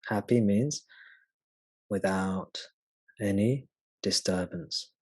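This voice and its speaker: A man guiding a meditation as a spiritual teacher, speaking gently and unhurriedly with long pauses. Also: lowish voice, husky, no echo. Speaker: spiritual teacher leading satsang. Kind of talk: slow guided meditation.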